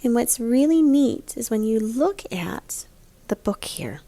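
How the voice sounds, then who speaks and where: A woman art teacher leading a picture book lesson for young children in a guiding way, with a breathy, husky voice. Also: fairly high pitch, big pitch swings, slow, warm tone, dry recording. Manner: guiding, prompts listeners with questions.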